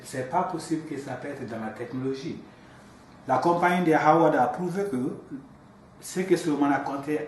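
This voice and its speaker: A man interviewing, speaking haltingly with many restarts, repeated words and fillers. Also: fairly high pitch, darkish tone. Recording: echoey room, from far off, faint noise.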